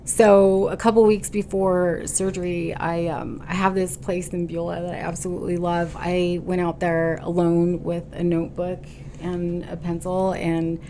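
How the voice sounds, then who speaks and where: A woman with a low voice and a gravelly, creaky edge talks as a podcast guest. She tells her story in an earnest, halting way, full of "um" and "you know." There is faint noise under her voice. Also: flat delivery, warm tone. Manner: stubborn, determined. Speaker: podcast guest, boutique owner. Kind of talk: casual conversational interview.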